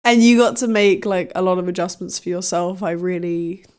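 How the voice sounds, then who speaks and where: A woman's voice: fairly low pitch, normal speed, darkish tone, smooth. A woman hosting a podcast interview, chatty and enthusiastic, with plenty of casual fillers. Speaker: podcast host.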